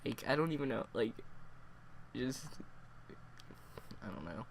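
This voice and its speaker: A man with a cold, sniffling and hoarse, reviewing a story in a casual, rambling way full of fillers. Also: medium pitch, animated, measured pace, slight background noise. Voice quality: hoarse, worn voice.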